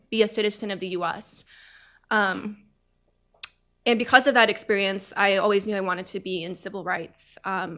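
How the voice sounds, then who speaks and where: A woman in her thirties opens a panel discussion with a personal story. She talks earnestly and at a measured pace, with frequent hesitations. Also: medium pitch, fairly bright tone. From United States. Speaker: panel moderator.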